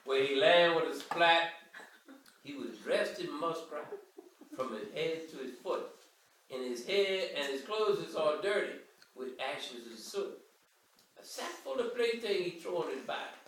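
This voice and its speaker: A man's voice: on the high side, pushed and bright, far from the mic, slight background noise. An older man giving a theatrical bedtime read-aloud of a dialect verse story. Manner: theatrical, reading in Cajun dialect. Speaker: reader of a children's story. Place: living room armchair, indoors. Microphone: handheld camera a few metres away. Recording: roomy home recording from across the room.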